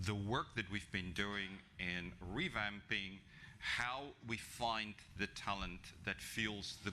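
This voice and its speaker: A man's voice: fairly low pitch, pushed and bright, a little hiss or hum. A middle-aged man from South Africa, English not his first language, giving a conversational talk at a business breakfast with frequent ums and restarts. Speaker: guest speaker. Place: function room with an audience. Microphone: podium microphone.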